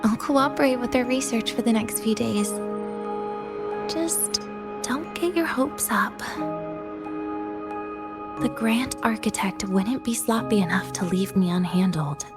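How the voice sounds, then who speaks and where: An actress voicing a weary, teasing, guarded young woman in a video game, speaking with wry, breathy pauses. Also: on the high side, over loud music. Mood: resigned, teasing.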